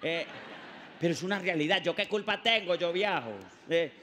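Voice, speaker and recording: A man's voice: sits high, normal speed, bright, forceful tone, in a large hall, slight background noise. A middle-aged man hosting a comic talk show, teasing and playful in a jumpy way. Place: TV studio set.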